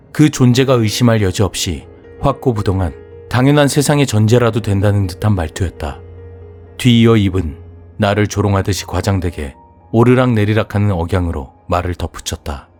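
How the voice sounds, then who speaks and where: A man reading a horror audiobook as its narrator, telling the story in a measured, even way. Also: fairly low pitch. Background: soft music.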